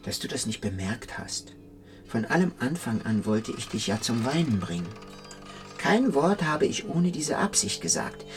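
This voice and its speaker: A man's voice: medium pitch, lightly acted. An actor playing a tall, idle, teasing man who muses theatrically in a German literary radio drama. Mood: teasing reproach. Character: tall, idle, teasing man philosophizing while lying sprawled.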